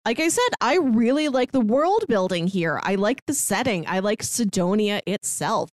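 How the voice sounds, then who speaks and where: A woman's voice: medium pitch, sing-song, normal speed, no room sound, studio quality. A woman co-hosting a podcast, chatting casually about a book. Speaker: podcast co-host.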